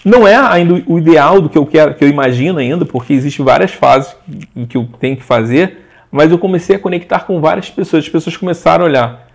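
A man in his forties, a guest in a casual interview, talking in a rambling, animated way with frequent restarts. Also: fairly high pitch, quick pace, creaky. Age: 40s. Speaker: interview guest, social media page owner.

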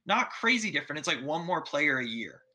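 A man's voice: fairly high pitch, very animated, a little fast, sharp tone. A man from Texas hosting a podcast, talking in an easygoing, upbeat way with lots of fillers. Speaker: podcast host. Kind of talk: casual podcast interview.

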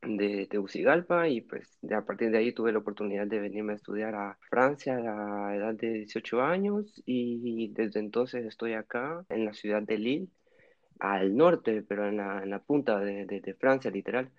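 A man's voice: lowish voice, normal speed, dull audio. A twenty-year-old man with a Honduran Spanish accent, chatting casually as a podcast guest. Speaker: podcast guest, university student in France. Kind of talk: casual interview chat.

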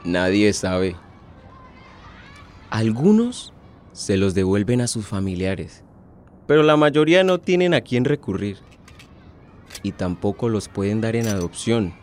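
A man's voice: fairly low pitch, very animated, unhurried, long pauses, a little mellow. An actor playing a troubled, compassionate policeman and father in a radio drama. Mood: grave, uneasy.

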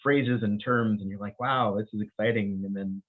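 Male medical expert, low voice, halting with many restarts, heard over a tinny phone-like line. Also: fairly even intonation. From Bahamas. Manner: laughs often while talking. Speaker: infectious disease physician and microbiologist. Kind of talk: interview guest, conversational.

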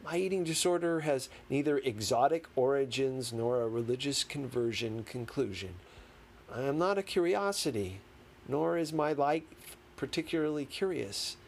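Male audiobook reader, mid-range pitch, expressive memoir narration, deliberate dramatic phrasing, noticeable background noise. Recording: clearly noisy background.

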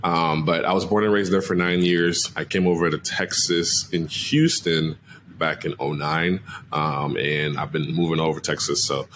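An energetic young man from Cameroon, a marketing agency owner, talking casually and upbeat as a podcast guest. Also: a bass voice, normal speed, slight background noise. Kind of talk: casual interview talk.

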